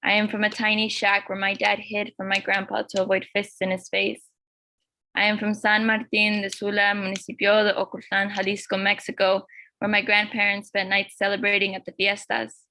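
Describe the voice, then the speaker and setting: Teen girl reciting poetry, bright voice. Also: medium pitch, completely flat delivery, no echo, low-quality recording. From Los Angeles. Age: teens. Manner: earnest, measured recitation. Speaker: youth poet. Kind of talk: spoken word poetry reading.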